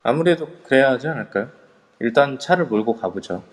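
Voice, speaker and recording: A man voicing a calm, determined young man who speaks politely but firmly. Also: mid-range voice, a little fast, close to an everyday voice. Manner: polite and firm. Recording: long reverb on a voice chat app.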